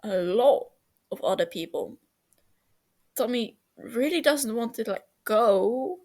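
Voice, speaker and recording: A woman narrating fan fiction as an amateur reader, expressive, with the odd stumble and self-correction. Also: fairly high pitch, slow pace.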